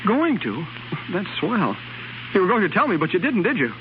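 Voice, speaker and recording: Worked-up young american husband, high voice swooping around, stammery, old muffled 1930s radio drama. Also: male voice, wildly swooping pitch, moderate pace, a little hiss or hum. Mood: hurt, indignant. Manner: stammering, insistent. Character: agitated, stubborn young husband pleading with his estranged wife.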